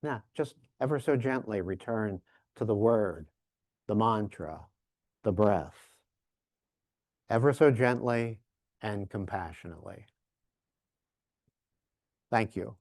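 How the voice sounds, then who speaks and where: Slow, halting male lecturer. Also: lowish voice, lively intonation, darkish tone, no room sound, studio quality. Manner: halting, repeats words and emphasizes key phrases. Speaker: professor giving a keynote workshop. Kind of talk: conference lecture, reflective and informal.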